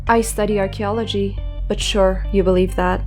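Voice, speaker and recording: A woman's voice: medium pitch, level tone, normal speed, darkish tone, faint music behind, natural voice. An actress playing a defiant young woman held captive in a comic dub, challenging and sardonic. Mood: sardonic, guarded. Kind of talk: scripted comic dub acting.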